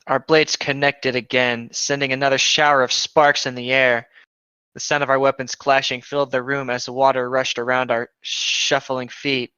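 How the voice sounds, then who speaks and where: Male amateur narrator, bright crisp voice, casual read-aloud, dry but slightly lo-fi recording. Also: medium pitch, flat delivery, normal speed, dry recording. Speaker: co-host of a fan fiction reading show.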